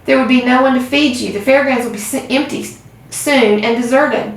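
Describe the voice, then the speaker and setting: A woman voicing a calm, wise female spider who speaks softly and steadily, recorded in a very echoey room from a distance. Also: high-pitched, fairly quick, pitched above the performer's own voice. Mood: gentle.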